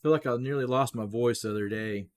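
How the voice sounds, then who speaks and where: A man hosting a casual live play-by-play stream, his voice rough, clearing his throat, talking straight to viewers and rising on big plays. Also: mid-range voice, quick pace. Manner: chatty, talks straight to viewers, rises excitedly on big plays. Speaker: livestream play-by-play host.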